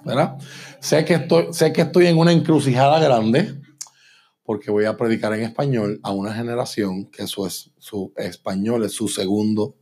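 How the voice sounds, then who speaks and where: Husky preacher man languages. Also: lowish voice, a little mellow. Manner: emphatic, slows and repeats for stress, asks "¿verdad?". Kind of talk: bilingual church sermon. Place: church sanctuary.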